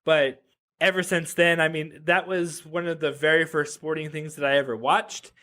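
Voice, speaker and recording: A man's voice: a little high, level tone, moderate pace, sharp tone. A man in his thirties from Nevada, a podcast guest chatting casually, joking and full of "like" fillers and restarts. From Reno, Nevada. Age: 30s. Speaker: podcast guest, web developer. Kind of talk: casual interview chat.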